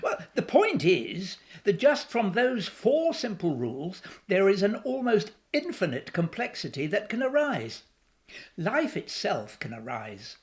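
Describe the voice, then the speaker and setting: Casting: philosophical woman doctor of science, resolute, lecturing, lively intonation, for audio drama. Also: mid-range voice. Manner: measured, lecturing. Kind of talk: radio play acting.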